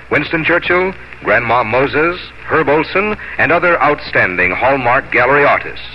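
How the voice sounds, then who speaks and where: A male American radio announcer reads a scripted commercial with emphatic polish. His pitch moves in a lively way. The recording is dull vintage radio with slight noise.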